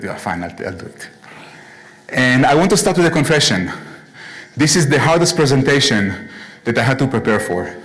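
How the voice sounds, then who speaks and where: A man's voice: medium pitch, low-quality recording. A man with an Israeli accent giving a prepared presentation to a class in a synagogue chapel, earnest with flashes of dry humor. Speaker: guest lecturer.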